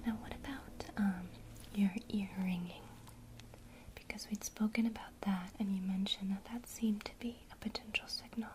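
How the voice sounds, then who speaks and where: A woman whispering an ASMR witchcraft lesson, gently encouraging a listener she addresses as her student. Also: medium pitch, steady tone, a little hiss or hum. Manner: speaks to the listener as a student, pausing for imagined replies.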